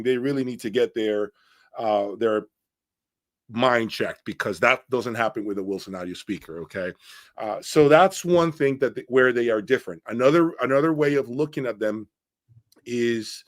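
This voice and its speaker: Blunt, emphatic male audio reviewer. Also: medium pitch. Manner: blunt, emphatic, often checks with "okay?" and "right?". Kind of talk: informal opinionated product breakdown.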